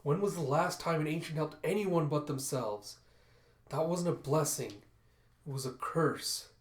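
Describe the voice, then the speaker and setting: A man's voice: on the high side, normal speed, fairly bright tone, roomy sound, recorded from a distance, close to an everyday voice. A man voicing a bitter, protective mother fox, scolding and urgent, in a story read-through. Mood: angry scolding.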